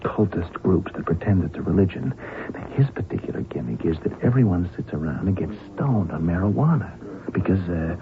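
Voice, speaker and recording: An actor playing a wary, hard-headed pilot in an old radio drama, terse and sardonic. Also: male voice, sits low, animated, fairly quick, music in the background. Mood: grim, confiding. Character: wary, hard-headed airline captain held by hijackers. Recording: tinny band-limited old radio recording, clear noise.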